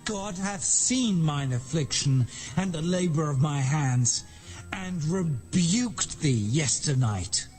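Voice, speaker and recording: A man's voice: on the high side, expressive, takes it slowly, a little mellow, soft background music. An actor playing an aggrieved, indignant shepherd pleading his case in a dramatized scripture reading, declamatory and archaic in diction.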